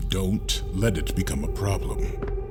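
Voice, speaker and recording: An actor playing a stern, wary man of authority who advises bluntly, in a measured audio drama delivery. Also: low voice, over music. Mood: cautioning.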